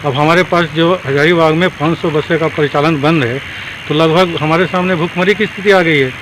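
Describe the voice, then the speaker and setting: Man interviewed over loud street noise. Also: a little high, fairly even intonation, a little fast. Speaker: bus operator being interviewed. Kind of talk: news interview soundbite. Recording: noisy outdoor street with vehicle sounds.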